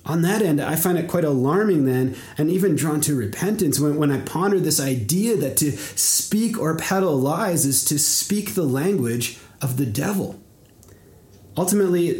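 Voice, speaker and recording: A young man from Canada preaching a sermon in an earnest, measured way, often repeating words as he goes. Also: fairly high pitch, darkish tone. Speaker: pastor. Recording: echoey room.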